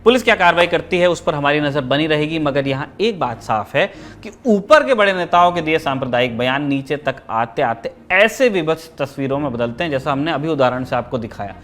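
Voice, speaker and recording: Higher-voiced man presenting news commentary. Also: lively intonation, a little fast, hardly any pauses, fairly bright tone, slight background noise. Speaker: news show host.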